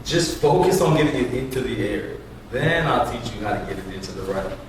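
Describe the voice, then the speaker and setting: A man's voice: mid-range voice, fairly quick, natural voice. A man reading the part of a friendly, encouraging support group leader at a script table read. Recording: echoey room, distant mic, faint noise.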